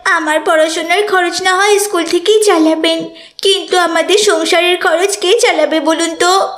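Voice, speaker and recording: A woman's voice: very high voice, fairly even intonation, normal speed, a bit bright. A woman voicing a poor, earnest and determined schoolgirl in a cartoon, with a plaintive delivery. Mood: sorrowful. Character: poor, bright, determined schoolgirl, hurt and pleading. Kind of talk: cartoon voice acting.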